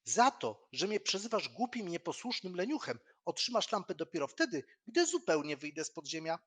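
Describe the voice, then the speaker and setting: A man voicing a stubborn, defiant boy hero in a storybook audio drama, calling out loudly and emphatically. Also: sits high, very animated, moderate pace, husky, pitched above the performer's own voice, heavily acted. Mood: indignant.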